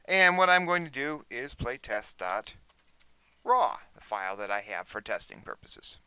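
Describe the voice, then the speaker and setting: A man speaking as an instructor, explaining a software demonstration in a measured, instructional way. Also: medium pitch, big pitch swings, smooth, no echo.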